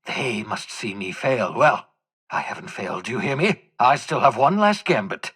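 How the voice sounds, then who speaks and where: An older man acting as an embittered, desperate renegade scientist in a video game, with a raspy voice and a theatrical, sarcastic, erudite manner. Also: mid-range voice, sing-song, normal speed, a bit bright. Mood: frantic, desperate.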